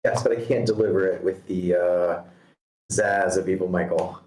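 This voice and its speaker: A man giving casual, constructive feedback on a screenplay, halting as he thinks aloud. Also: sits low, steady tone, a little mellow, reverberant, poor audio. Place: online video meeting.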